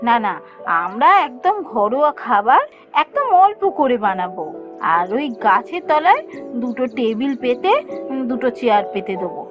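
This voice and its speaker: A woman playing a gentle, encouraging young newlywed wife in a Bengali cartoon story. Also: a little high, very animated, moderate pace, faint music behind.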